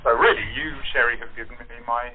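A man's voice: medium pitch, little pitch movement, fast talker, heavy background noise. A man chatting casually over a narrow call, wry and self-deprecating with a theatrical turn of phrase. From moved from abroad to the show's country. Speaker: voice actor in an audio theatre group. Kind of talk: casual chat. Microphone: phone or internet call. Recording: muffled, narrow phone-line call.

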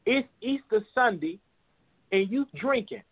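A man's voice: very high-pitched, expressive, unhurried, slightly rough, dry recording. A man in his twenties calling in to a talk show, speaking with passion in casual vernacular. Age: 20s. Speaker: caller. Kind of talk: emotional call-in testimony. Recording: tinny phone line.

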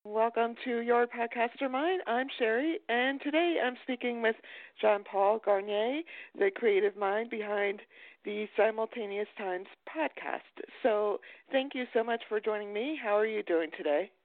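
A woman hosting a conversational podcast interview, with hesitant, halting phrasing. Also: a little high, no room sound. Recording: thin phone-like audio.

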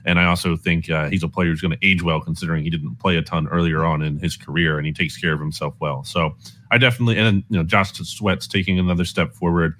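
A man's voice: sits low, fairly even intonation, fast talker, a bit bright, a little hiss or hum. A man giving conversational sports analysis as a guest, explaining things in an informal way peppered with "like".

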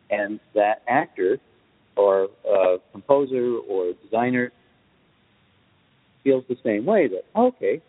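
Man explaining in a dull, reverberant recording. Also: mid-range voice. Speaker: guest film composer. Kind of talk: explanatory interview talk. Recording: dull lo-fi audio with long reverb.

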